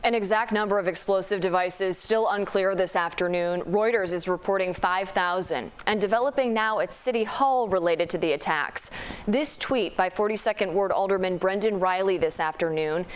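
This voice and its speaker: A woman's voice: mid-range voice, moderate pace, clear, resonant tone. A woman with an American accent delivering a scripted television news report as a reporter.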